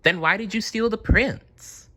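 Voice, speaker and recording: Man as a bright, determined storybook girl knight. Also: high-pitched, a little slow, a little hiss or hum, voice pushed up. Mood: questioning. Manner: earnest, frank, encouraging. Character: brave, kind, determined young girl knight. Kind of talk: storybook character voice.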